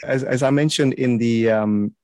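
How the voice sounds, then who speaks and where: A man from Malaysia hosting a podcast interview and chatting in a relaxed, friendly way. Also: mid-range voice, moderate pace, dry recording, clean studio sound. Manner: energetic, announcer-like intro, then relaxed chat. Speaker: podcast host and life coach.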